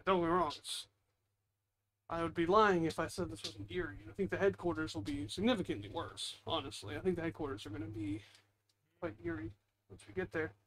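A man with a slightly high voice hosts a gaming video in a casual, rambling way. He mutters self-deprecating asides as he plays. The home headset recording has some faint noise. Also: expressive, fairly quick, fairly bright tone, rough recording. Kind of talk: casual gameplay commentary.

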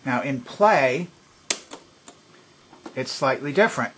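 Calm man explaining a cassette mechanism. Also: medium pitch, slow, slight background noise. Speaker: vintage electronics restorer. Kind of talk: hands-on repair demonstration. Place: workbench.

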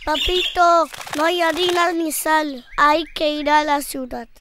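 A woman voicing a sweet, sensible young peasant daughter in an animated folk tale, speaking gently. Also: sits high, measured pace, background noise. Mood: gentle, practical.

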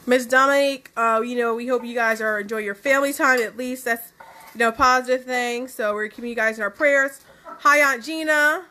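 A young woman co-hosting a home cooking stream, reading out viewer greetings in a friendly, steady way. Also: a little high, brisk. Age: young adult. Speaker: co-host reading viewer comments. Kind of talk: reading out live chat greetings. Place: home kitchen, indoors.

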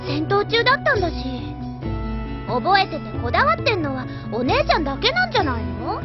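A cheerful teenage kid sister from an anime audio drama. Her voice is very high and swoops widely as she briskly coaxes and exclaims, with music behind her. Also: huge pitch swings, muffled recording, exaggerated voice. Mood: reassuring.

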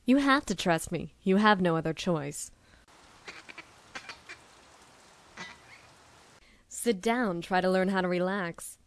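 A woman's voice: on the low side, normal speed, smooth, natural voice. A woman playing a calculating, self-assured female scientist villain in an anime dub, with composed, coaxing delivery. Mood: teasing, coaxing. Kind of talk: dramatic anime dub acting.

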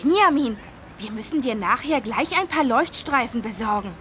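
A woman's voice: fairly high pitch, animated, normal speed, bright, forceful tone, slight background noise. A woman voicing an eager, helpful little boy in a children's educational game. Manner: eager, chirpy. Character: bright, helpful little boy, the elephant's friend.

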